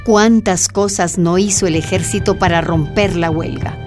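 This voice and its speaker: A woman's voice: fairly low pitch, fairly even intonation, normal speed. A woman giving scripted dramatic narration for a radio drama. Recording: music bed under voice.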